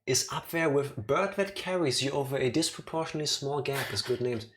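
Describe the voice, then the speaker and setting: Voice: mid-range voice, a little fast, noisy background. A streamer chatting casually while playing a game. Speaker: let's play streamer.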